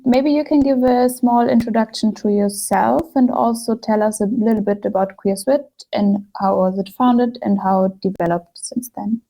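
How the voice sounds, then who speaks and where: A woman hosting a podcast, reading a steady, slightly halting introduction to her guest. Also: fairly high pitch, vocal fry, poor audio. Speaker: podcast host.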